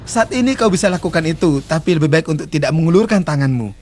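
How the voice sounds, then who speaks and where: Fast-talking man in his forties, high-pitched. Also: animated, a little mellow, a little hiss or hum. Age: 40s. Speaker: interview guest. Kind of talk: explaining customs in casual conversation.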